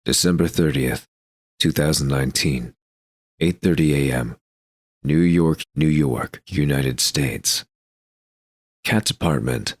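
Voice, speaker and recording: A man's voice: extremely low pitch, slow, pauses often, dry recording, clean studio sound. A man reading scripted scene narration for an audio drama.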